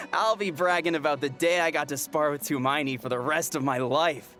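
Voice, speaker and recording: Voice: medium pitch, a bit bright, natural voice. An actor playing an eager, awkward young warrior on guard duty in a video game, flustered yet bold. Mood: elated, confident. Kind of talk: English video game voice acting.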